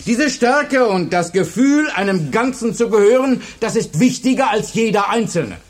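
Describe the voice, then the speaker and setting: An actor playing a charismatic, authoritative high school teacher who instructs and rallies a class in a radio drama. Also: male voice, lively intonation, normal speed. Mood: rousing, commanding. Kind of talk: German radio drama acting.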